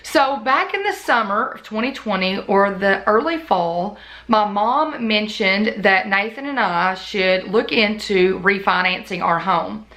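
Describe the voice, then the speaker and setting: Bright-voiced woman, voice still worn. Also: medium pitch, unhurried. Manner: chatty, talks in long run-on stories. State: voice still recovering, slightly worn. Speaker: budgeting vlogger. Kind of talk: casual off-the-cuff chat to camera.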